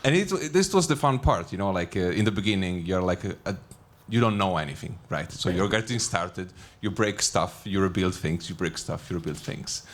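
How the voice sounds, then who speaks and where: Low-voiced chatty man on a panel. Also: lively intonation, normal speed, hardly any pauses. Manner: chatty, laughs often, thinks aloud. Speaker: panel guest, Kubernetes trainer. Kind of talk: conversational panel answers. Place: conference stage before an audience.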